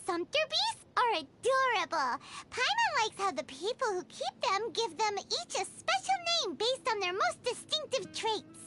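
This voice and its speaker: A woman voicing a tiny, excitable, food-loving female fairy companion in a video game, squeaky and childlike, bubbly and exclaiming. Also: a little slow, hardly any pauses, music in the background, exaggerated voice. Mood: cheery. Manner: speaks of self in third person, exclaiming, bubbly. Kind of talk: video game character dialogue.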